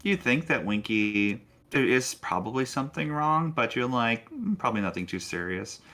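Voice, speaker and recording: A man serves as game master for a tabletop session. He improvises scenes in a casual, halting manner, talking directly to his players. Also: mid-range voice, sing-song, bright, forceful tone.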